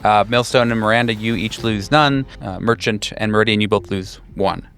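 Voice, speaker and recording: A man narrating a horror tabletop game as game master in a measured, deliberate way. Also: on the low side, gravelly, a bit bright.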